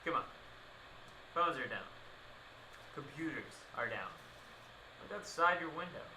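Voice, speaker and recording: A man playing an excitable, smug field researcher in an amateur radio play, agitated and full of know-it-all confidence. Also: on the high side, unhurried, noisy background. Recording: roomy, echoing sound.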